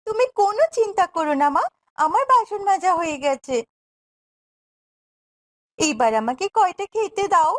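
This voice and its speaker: Very high, meek young stepdaughter, voiced by a woman. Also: lively intonation, normal speed, pauses often. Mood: dutiful. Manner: plaintive, pleading, dutiful. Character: meek, hard-done-by young stepdaughter, pleading and kind. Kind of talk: fairy-tale cartoon voice acting.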